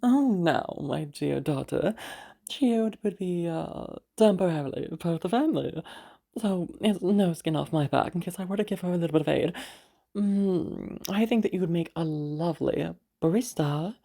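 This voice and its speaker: A woman playing a condescending rich businesswoman mother who is polite but passive-aggressive, with haughty, falsely gracious pauses, in an audio roleplay. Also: on the low side, expressive, vocal fry, warm tone. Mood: sweetly cutting.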